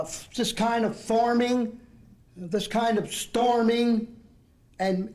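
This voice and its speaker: A man's voice: high voice, darkish tone. A man in his sixties with a Southeast Texas accent, giving an earnest, rambling speech to a group at a dinner, with many fillers and restarts. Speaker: speaker at an education program dinner.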